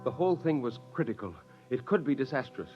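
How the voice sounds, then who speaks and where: A man's voice: medium pitch, expressive, brisk, over loud music, close to an everyday voice. An actor playing an exasperated father and scheming inventor in 1950s radio drama, blustering in dialogue and narrating his own story. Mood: rueful narration. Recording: dull vintage 1950s radio recording.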